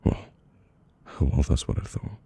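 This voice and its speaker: A man with a British accent playing a cold, dominant mafia boss in an ASMR audio roleplay, speaking in a measured, commanding way with long deliberate pauses. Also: mellow, close to an everyday voice. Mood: suspicious, brooding. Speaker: voice actor. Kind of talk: ASMR audio roleplay, slow dramatic monologue.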